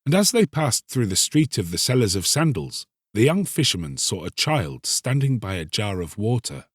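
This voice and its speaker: A man narrating a literary tale as a scripted audiobook, solemn, deliberate and theatrical. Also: lowish voice, big pitch swings, husky, no echo, clean studio sound.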